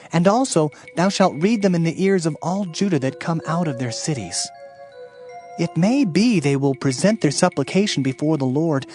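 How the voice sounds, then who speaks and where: A man's voice: a little high, darkish tone, natural voice. A man playing a solemn Hebrew prophet proclaiming warnings in a declamatory dramatized audio Bible. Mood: grave, urgent. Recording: background music under the voice.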